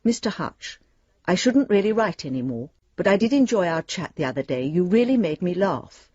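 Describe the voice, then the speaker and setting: A formal bank lending advisor in a radio play. Her voice is husky and expressively varied, precise in its official phrasing, with a dry, slightly dull sound. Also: lowish voice, big pitch swings, moderate pace, darkish tone, no room sound. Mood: confiding, sympathetic. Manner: official, precise letter-reading. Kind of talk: radio drama letter monologue.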